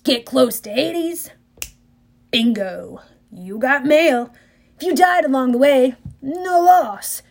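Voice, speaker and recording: Woman doing swaggering male war god, loud, sneering, audiobook, higher voice. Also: animated, slow, gravelly, full character voice. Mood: cocky.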